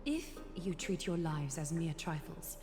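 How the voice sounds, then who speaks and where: Formal regal guardian, measured solemn speech, lowish voice, reverberant game audio, music underneath. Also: female voice. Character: stern, regal female guardian of a city. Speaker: guardian judging outsiders.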